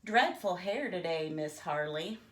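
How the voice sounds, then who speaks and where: A woman's voice: lowish voice, lively intonation, unhurried, echoey room. A woman voicing a vain, rude male storybook pig in a pompous, theatrical manner. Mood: snide.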